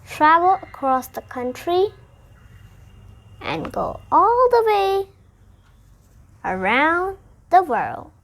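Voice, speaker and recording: A child reads a children's picture book aloud, deliberately, phrase by phrase. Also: very high-pitched, big pitch swings, vocal fry, fairly bright tone. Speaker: storybook reader.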